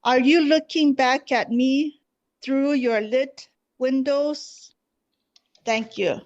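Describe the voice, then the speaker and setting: Slow, high-voiced woman poet. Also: steady tone, muffled recording. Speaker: poet at an open mic. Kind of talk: poetry reading and brief casual remarks. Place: online video gathering.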